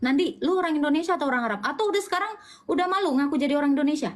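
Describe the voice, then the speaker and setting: A high-pitched woman argues in a heated online debate. She speaks informally, teases her opponent and keeps repeating her challenge. A faint hiss sits under her voice. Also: animated, very fast. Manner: mocking, insistent, repeats her question; informal slangy speech.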